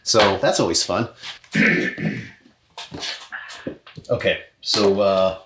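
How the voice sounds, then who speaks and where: A man casually directing a recording session, encouraging and halting as he thinks aloud. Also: low voice. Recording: roomy echo with faint noise.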